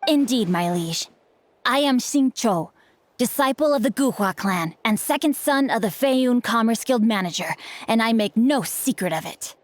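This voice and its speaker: A woman's voice: a little high, expressive, moderate pace, lightly acted. A woman voicing a studious, polite young nobleman swordsman in a video game, formal, composed and slyly teasing. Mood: proud, polite. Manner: formal, archaic, eloquent, composed. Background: background music.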